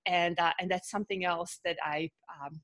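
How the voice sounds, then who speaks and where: A woman's voice: on the low side, steady tone, moderate pace, a bit bright. A woman from Bosnia with a Bosnian accent, a podcast guest talking through her ideas with many fillers and restarts. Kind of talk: casual interview talk.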